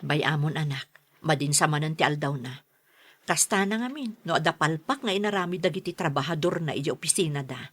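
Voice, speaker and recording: A woman's voice: deep voice, expressive, fairly quick, a bit bright, breathy edge, no room sound, exaggerated voice. A woman with a Filipino Ilocano accent playing a patient, long-suffering middle-aged mother in a radio serial, pleading and soothing. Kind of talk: radio serial drama acting.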